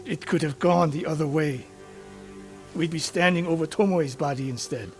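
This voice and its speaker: An actor playing a proud, regretful older samurai master in a video game, speaking gravely in clipped, defensive phrases. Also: male voice, fairly high pitch, normal speed, close to an everyday voice. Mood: defiant. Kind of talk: dramatic video game acting. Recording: music behind the voice. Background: music.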